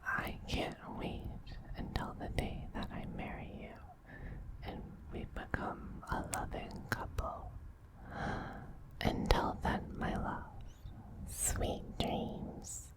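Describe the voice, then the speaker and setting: A man voicing a tender, dominant, effeminate vampire queen who soothes a beloved pet in a breathy, soft-spoken ASMR roleplay whisper. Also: lightly acted, rough recording. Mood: sleepy devotion. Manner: slow, soothing, doting.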